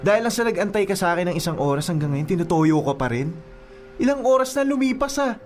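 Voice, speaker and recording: Young filipino boyfriend in radio drama, highish voice, whiny n pleading, music under it. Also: male voice, lively intonation, quick pace, a little mellow. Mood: exasperated. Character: apologetic, exasperated young boyfriend.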